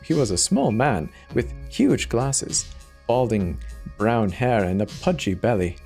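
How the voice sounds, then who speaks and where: Guy narrating a horror story, dramatic read, lively pitch, music behind. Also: unhurried, vocal fry.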